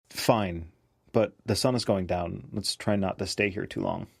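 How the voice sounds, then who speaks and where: A man's voice: low-pitched, slow pace, creaky, husky, no room sound, studio quality, exaggerated voice. A man playing an injured, reluctant but protective road companion in audio drama dialogue. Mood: reluctant, worried.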